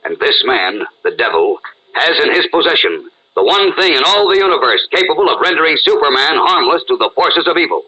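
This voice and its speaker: Bright, husky old-time radio announcer man. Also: fairly high pitch, very animated, fairly quick, lo-fi sound. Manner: dramatic, urgent. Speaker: announcer and narrator. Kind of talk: 1940s radio serial narration. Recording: old radio broadcast recording.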